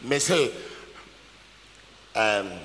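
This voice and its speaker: A man's voice: on the low side, lively intonation, extremely slow, a bit bright. An older man with a Ghanaian accent preaching a sermon emphatically, repeating key phrases and calling for responses. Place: large packed church auditorium, on stage. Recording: echoey large hall PA, background noise.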